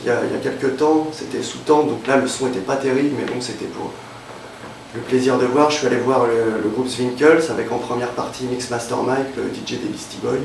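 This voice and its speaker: Creaky-voiced young man, off-mic. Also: medium pitch, fairly even intonation, a little fast, far from the mic, a little hiss or hum. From Évreux, Normandy, France. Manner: hesitant, many fillers and restarts. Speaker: interviewee, freelance illustrator. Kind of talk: casual interview. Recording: roomy, off-mic with faint ambience.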